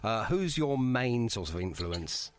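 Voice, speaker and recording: A man's voice: medium pitch, very animated, slow. A man hosting a music podcast, chatting casually and asking rambling questions with plenty of affirmation. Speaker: podcast host interviewing a band.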